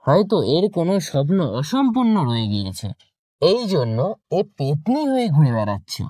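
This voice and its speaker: A man's voice: on the high side, wildly swooping pitch, a little fast, a little mellow, no echo. A man voicing a grave, compassionate temple priest in a cartoon, solemn and advising. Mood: thoughtful. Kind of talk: cartoon story voice acting.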